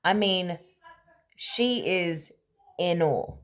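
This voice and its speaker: A woman telling a story in a casual, conversational way, animated and emphatic, often checking in with rhetorical questions. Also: fairly low pitch, extremely slow. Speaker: storyteller, host of a story-time series.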